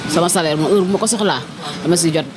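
A woman's voice: on the low side, racing. Young woman conductor on a noisy bus. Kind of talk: street interview. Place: inside a city bus. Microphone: handheld reporter mic. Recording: bus noise behind.

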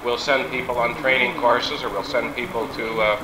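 A man giving a measured, articulate speech at a business meeting, on 1960s reel-to-reel tape. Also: medium pitch, level tone, roomy sound, background noise. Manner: measured, articulate, formal wording. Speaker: business speaker. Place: meeting room.

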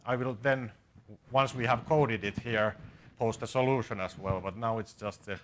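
Finnish guy in his 40s teaching a coding session, medium pitch, pretty informal. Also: steady tone, moderate pace, fairly bright tone, a little hiss or hum. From Finland. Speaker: conference speaker and programming instructor. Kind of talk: live coding workshop presentation. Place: small conference seminar room with seated audience.

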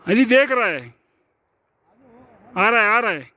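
A man's voice: high-pitched, sing-song, slow pace, bright, forceful tone, low-quality recording. A man vlogging a mountain hike outdoors, chatting casually and pointing out what he sees along the trail. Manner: chatty, pointing things out as he walks. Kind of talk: casual hiking narration to camera. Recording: outdoor wind noise on a phone mic.

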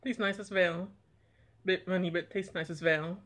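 A young man talking plainly and a little haltingly as he reviews eggnog on a home vlog, filmed by a camera on the table in a small room. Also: sits high, fairly even intonation, fairly bright tone. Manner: plain, unhurried, slightly halting talk. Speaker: product reviewer. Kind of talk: casual taste-test vlog. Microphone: camera on table in front.

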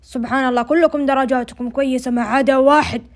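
A fussy, excitable cartoon schoolmaster put on by a woman with a Saudi accent. The voice is strikingly high and races along, firing emphatic questions. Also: fast, close to an everyday voice. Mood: scolding. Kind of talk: amateur cartoon dub.

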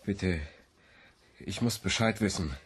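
A man's voice: low voice, takes it slowly, slightly rough, exaggerated voice. An actor playing an earnest, gullible young man in a radio play, nervous and somewhat pedantic. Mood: anxious.

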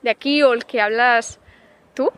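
A woman, briskly asking street interview questions. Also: fairly high pitch, steady tone, a bit bright. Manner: brisk, encouraging, quick follow-up questions. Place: city sidewalk outdoors. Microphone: handheld mic.

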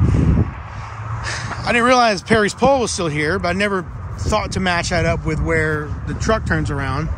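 A man walking and talking through an old filming location, excited and rambling, restarting his sentences as he films on a handheld phone outside. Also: sits high. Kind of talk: casual walking vlog. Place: outdoors on an old overgrown road. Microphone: handheld phone camera. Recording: loud outdoor background noise.

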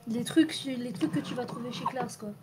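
A woman's voice: fairly high pitch, steady tone, normal speed. A young woman co-hosting a live stream, chatting casually and rambling with lots of hesitations. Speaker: stream co-host. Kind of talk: casual chat.

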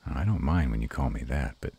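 A man playing a gruff but tender were-dragon lover in an intimate romantic audio roleplay, murmuring slowly and haltingly in a gravelly, husky voice. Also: very deep voice, expressive, warm tone, full character voice. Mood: playful growling.

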